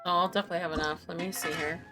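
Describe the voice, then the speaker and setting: A woman's voice: on the low side, fairly even intonation, rapid-fire, low-quality recording. A woman streaming a video game with casual live commentary, thinking aloud and repeating her phrases as she rambles. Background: game music.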